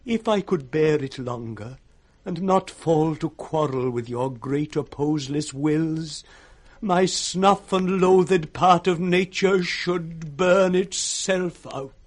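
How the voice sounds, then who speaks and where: An actor playing a weary, despairing blind old nobleman with a British accent, in solemn measured verse for 1960s radio Shakespeare. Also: a little high. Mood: despairing prayer.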